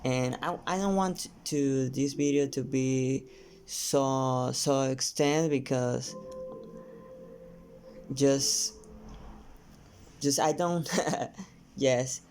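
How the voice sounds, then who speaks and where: A painter from Mexico with a Mexican Spanish accent, his first language Spanish, talking casually and haltingly to camera with many restarts. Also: medium pitch, darkish tone, slight background noise. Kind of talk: casual vlog talk while painting.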